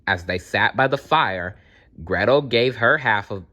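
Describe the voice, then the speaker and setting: A man reads a fairytale aloud for children. His voice is a little low in pitch but bright and forward. He is expressive and talks straight to his young listeners. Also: unhurried. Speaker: storyteller.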